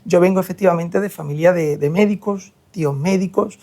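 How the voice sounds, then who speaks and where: A man's voice: high-pitched, a little fast, darkish tone. A young man from Seville, a surgeon talking reflectively in an interview with frequent hesitations. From Seville, Spain. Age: young adult. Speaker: guest, hair transplant surgeon. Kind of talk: interview conversation.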